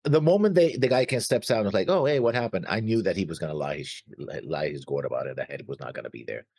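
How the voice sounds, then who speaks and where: A man co-hosting a reaction show, chatting casually in a halting, excitable way. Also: sits low, husky, no room sound. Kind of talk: casual chat about an anime episode.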